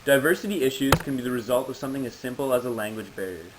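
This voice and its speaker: Male presenter, average pitch, formal scripted explainer read, amateur audio with noticeable background noise. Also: rapid-fire. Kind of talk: prepared informational presentation, read out.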